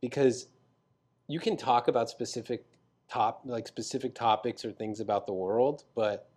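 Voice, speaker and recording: A man's voice: medium pitch, moderate pace, a little mellow. Easygoing man telling loose stories. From San Diego, California. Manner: loose, rambling, filler-heavy storytelling. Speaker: comedian guest. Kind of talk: casual podcast chat.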